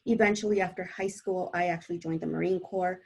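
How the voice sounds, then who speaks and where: A woman's voice: on the low side, steady tone, a little fast, creaky, husky. A woman from El Salvador, a native Spanish speaker, talking informally as a panelist and sharing personal experience. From born in El Salvador.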